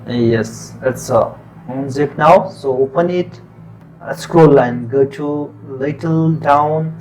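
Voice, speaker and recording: A man with a Nepali accent, a native Nepali speaker, talking through a software tutorial in plain, unhurried step-by-step instructions. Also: mid-range voice, low-quality recording. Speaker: tutorial presenter. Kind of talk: screen-recorded software tutorial.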